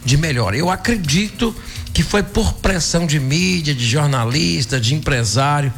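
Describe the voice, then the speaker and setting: A hoarse man with a Brazilian accent hosting an evening radio show, chatting and giving his opinions and often tagging "né". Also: a little high, animated, normal speed, a bit bright. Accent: Brazilian Portuguese. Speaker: radio host. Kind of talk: casual radio chat. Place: radio studio. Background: music bed.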